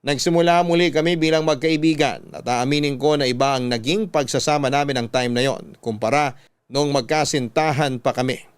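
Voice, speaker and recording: A man with a Filipino accent reading out a young woman's love letter as scripted radio narration, measured and heartfelt. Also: medium pitch, steady tone, smooth, dry recording, natural voice. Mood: reflective, heartfelt.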